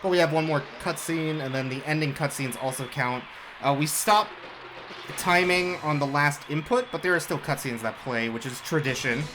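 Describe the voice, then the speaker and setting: A man's voice: on the high side, moderate pace, background noise. Laid-back man commenting on a speedrun. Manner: laid-back, rambling, self-mocking. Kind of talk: casual live gameplay commentary.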